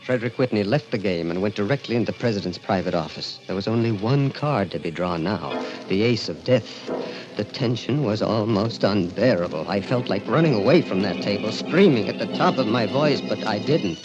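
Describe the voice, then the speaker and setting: An actor playing a haunted, thrill-addicted man who tells his own dark story in intense, confessional 1940s radio style. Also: fairly low pitch, expressive, brisk, close to an everyday voice. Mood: tense dread. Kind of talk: 1940s radio acting, first-person narration. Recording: dull old radio recording. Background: dramatic music.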